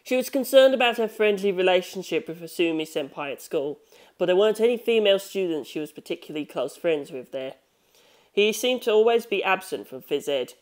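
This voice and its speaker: A man's voice: high voice, lively intonation, fairly quick. A man reading scripted fiction as an audiobook narrator.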